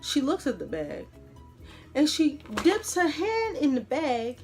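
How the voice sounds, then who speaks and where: A woman podcast host telling a personal story in an animated, colloquial way, dramatically acting out the dialogue. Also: sits high, slow, soft background music.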